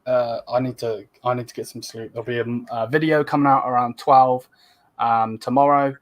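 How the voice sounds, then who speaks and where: A man's voice: medium pitch, little pitch movement, moderate pace. A man, hosting a casual late-night livestream chat, laid-back and swearing casually as he urges viewers to subscribe. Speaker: channel host.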